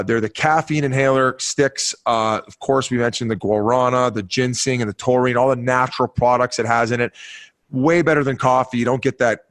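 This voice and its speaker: Guy cohost reading an ad real loose and crude, mid pitch. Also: fairly bright tone. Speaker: podcast co-host. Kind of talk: casual ad read.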